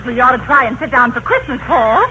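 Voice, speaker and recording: A woman playing a nagging, suspicious, excitable wife in a 1930s radio comedy. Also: high-pitched, fast talker. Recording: noisy, muffled 1930s radio recording.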